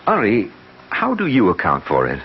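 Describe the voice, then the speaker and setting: An actor playing an anxious, boyish suitor in a 1970s radio drama, stammering and easily flustered. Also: male voice, fairly low pitch, moderate pace. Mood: sheepish. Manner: excitable, stammering, exclamatory. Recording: muffled, noisy 1970s radio broadcast.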